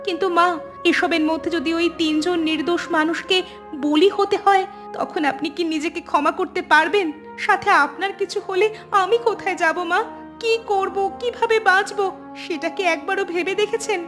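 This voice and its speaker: Very high-pitched anxious young woman. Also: normal speed, a bit bright, soft background music. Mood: pleading, fearful. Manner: pleading, worried, respectful. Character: anxious, pleading young woman, devoted to mother. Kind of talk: scripted Bengali horror cartoon acting.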